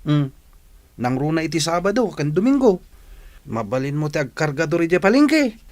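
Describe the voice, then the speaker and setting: A man's voice: on the high side, big pitch swings, normal speed, a little mellow, no echo. A man with an Ilocano accent playing a practical, encouraging young cousin in a radio drama. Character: practical, encouraging young male cousin urging city studies.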